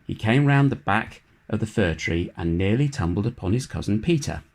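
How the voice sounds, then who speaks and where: A man reads a children's storybook aloud in a fairly low voice. His phrasing is measured and expressive, as a scripted narrator telling a tale to young listeners. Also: creaky. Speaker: storybook reader.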